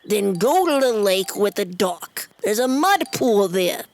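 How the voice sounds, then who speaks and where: Woman as a slow, grumpy giant fish. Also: medium pitch, sing-song, background noise, pitched below the performer's own voice. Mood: irritated. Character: grumpy giant red fish woken from a nap. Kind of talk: animated fairy tale voice acting.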